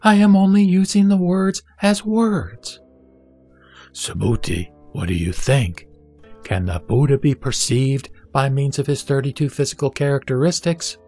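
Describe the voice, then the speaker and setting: A man playing a serene, authoritative spiritual teacher, measured and didactic, in a scripted sutra audio drama. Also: mid-range voice, sing-song, darkish tone, soft background music. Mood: solemn teaching. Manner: solemn, measured, didactic delivery. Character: the Buddha, serene, authoritative male spiritual teacher instructing a disciple.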